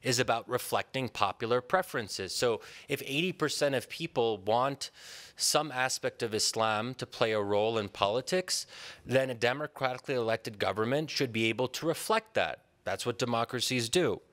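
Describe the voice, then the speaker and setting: A man in his thirties from Pennsylvania with an American accent, giving a measured, thoughtful conference talk at a podium in a large hall, pausing often with ums and restarts. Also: medium pitch, a bit bright. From Pennsylvania, USA. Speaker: conference speaker. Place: large hall with a seated audience. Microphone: podium microphone.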